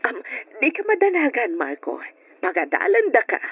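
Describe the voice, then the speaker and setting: A woman with an Ilocano accent playing the lady of a household, theatrical and expressive, in old radio melodrama style. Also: on the high side, normal speed, breathy edge, no echo, exaggerated voice. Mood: kindly. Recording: dull old radio recording.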